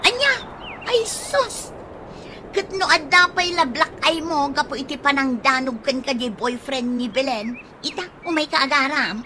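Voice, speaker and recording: A high, sassy woman with an Ilocano accent bickers in a comedy drama. She is exclamatory and sharp-tongued, over a clearly noisy recording. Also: expressive, unhurried, full character voice. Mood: sassy, scolding.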